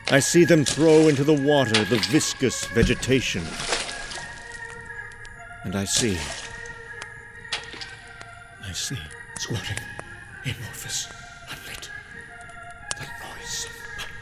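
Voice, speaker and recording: A man performing a haunted, horror-struck narrator of eldritch horrors in a theatrical, deliberate gothic style. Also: mid-range voice, huge pitch swings, loud music behind the voice, rough recording. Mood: awed revulsion. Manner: theatrical, deliberate, swinging from hushed whispers to anguished cries.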